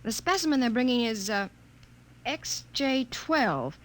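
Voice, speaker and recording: A young professor in an old sci-fi radio play, proper yet nervous. Her voice is on the high side and very animated, with little hesitations. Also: measured pace. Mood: brisk. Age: young adult. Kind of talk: 1950s radio drama acting.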